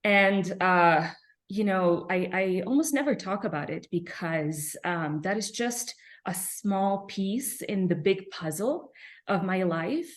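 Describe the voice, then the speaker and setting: A woman from Serbia, a pianist, answering interview questions reflectively with frequent soft fillers and pauses. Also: medium pitch. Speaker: pianist guest.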